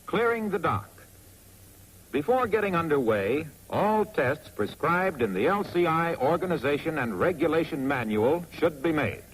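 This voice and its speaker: A man with an American accent narrates a 1940s instructional film in a wry, clearly phrased, scripted manner on a vintage soundtrack. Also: a little high, big pitch swings, normal speed, low-quality recording. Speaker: narrator of a military training film.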